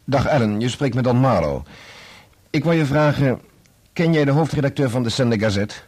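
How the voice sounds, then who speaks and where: Man acting an insistent, husky young musician. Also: medium pitch, expressive, normal speed, darkish tone, close to an everyday voice. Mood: brisk. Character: indignant young conductor defending his dead father's name. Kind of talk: Dutch radio play acting.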